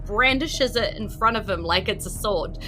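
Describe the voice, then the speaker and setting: A woman's voice: medium pitch, moderate pace, bright, forceful tone, soft background music. A woman with a New Zealand accent improvising narration as game master of a tabletop role-playing game.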